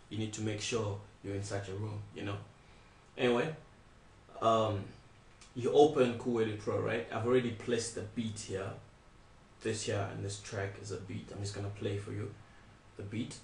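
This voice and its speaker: A young man giving a casual step-by-step software tutorial, speaking informally and repeating his instructions. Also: on the low side, creaky, slight background noise. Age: young adult. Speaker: tutorial presenter. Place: small indoor room, not soundproof. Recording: echoey untreated room, distant mic.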